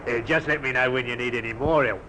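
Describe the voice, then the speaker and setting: A man's voice: mid-range voice, fairly even intonation, fast talker, natural voice. A man playing a nervy, wisecracking small-time crook's sidekick with a British accent, slangy and wry, in an old radio drama. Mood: eager, admiring. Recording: noisy old radio recording.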